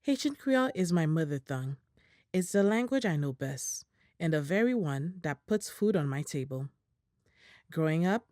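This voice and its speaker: A woman reading a prepared statement aloud in English in a measured, formal way, as an interpreter on a video call. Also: low voice, a little mellow, dry recording, studio quality.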